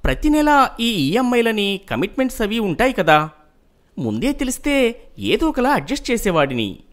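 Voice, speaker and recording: A man voicing an evasive grown younger son who makes excuses, in an animated moral story. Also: high voice, moderate pace, voice pushed up. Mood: apologetic, evasive.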